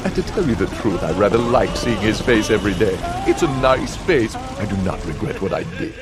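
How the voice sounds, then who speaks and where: An actor voicing a sinister, gloating contest master, narrating a video game in a dramatic, drawn-out way. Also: male voice, lowish voice, big pitch swings. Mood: smug. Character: sinister, gloating male host of a deadly car contest. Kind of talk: theatrical scripted game narration. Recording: loud music behind the voice.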